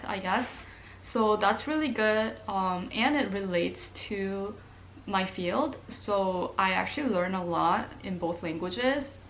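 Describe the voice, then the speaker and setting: A woman's voice: medium pitch, fairly bright tone, low-quality recording. A young woman from Korea, chatting casually to camera as a nursing student vlogger, with plenty of ums and likes. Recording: faint background noise.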